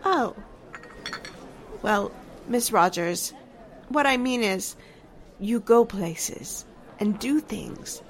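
A woman's voice: medium pitch, lively intonation, very slow, pauses often, a little hiss or hum. A woman acting an affected, insinuating small-town society gossip in a 1940s-style radio drama. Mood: gossipy.

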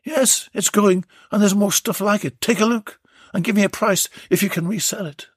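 A storyteller voicing a bold, flirtatious woman who talks briskly and eagerly. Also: mid-range voice, husky, no echo, clean studio sound, pitched above the performer's own voice, close to an everyday voice.

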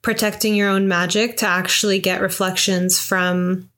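A young woman co-hosting a podcast, talking in a reflective, soft-spoken way with many fillers about astrology and visualization. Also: mid-range voice, flat delivery, normal speed. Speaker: podcast co-host. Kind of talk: casual conversation about astrology.

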